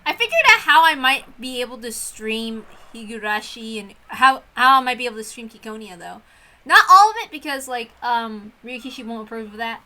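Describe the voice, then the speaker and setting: Bright, high-voiced woman, rambling casually. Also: lively intonation, normal speed. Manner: rambling, apologetic, self-interrupting. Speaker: streamer who reads visual novels aloud. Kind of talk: casual livestream chat.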